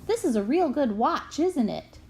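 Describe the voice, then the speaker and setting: An eager young boy from a storybook, voiced by a woman reader. The voice sits high, with a childlike, exclamatory way of speaking. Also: big pitch swings, moderate pace, vocal fry, a little mellow, exaggerated voice. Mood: excited. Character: young boy, eager and proud swimming learner.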